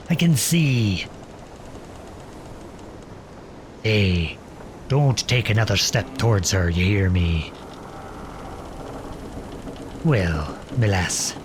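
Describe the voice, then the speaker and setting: A man playing a gruff but caring pirate captain in an audio roleplay, commanding and full of salty slang. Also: lowish voice, noisy background. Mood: irritated, protective. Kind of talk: ASMR roleplay audio drama.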